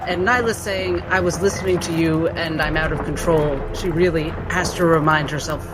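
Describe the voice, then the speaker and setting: A man's voice: on the high side, steady tone, normal speed, over loud music, poor audio. A man playing a tabletop game, moving between narrating a character and speaking as that character.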